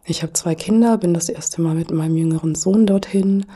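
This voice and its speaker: Woman, middle-aged, podcast guest, thoughtful, hesitant, dark mellow tone, lowish pitch. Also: husky. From Germany. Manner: thoughtful, hesitant, many pauses and fillers. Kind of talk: reflective conversational interview.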